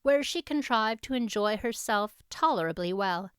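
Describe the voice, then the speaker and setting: A woman's voice: mid-range voice, expressive, moderate pace, a bit bright, clear, resonant tone, no room sound, clean studio sound. A woman reading scripted storybook narration as an audiobook narrator.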